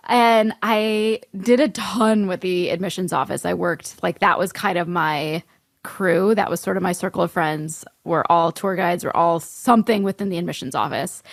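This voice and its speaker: A woman in her early thirties co-hosting a podcast, chatting casually with lots of "like" fillers and quick laughs. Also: on the low side, gravelly, dry recording, studio quality. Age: 30s. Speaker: podcast co-host. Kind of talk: casual chat.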